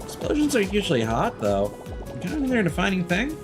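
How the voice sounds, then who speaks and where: A man voicing a sassy, dryly animated video game character. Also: high voice, a little fast, music in the background, voice pushed up.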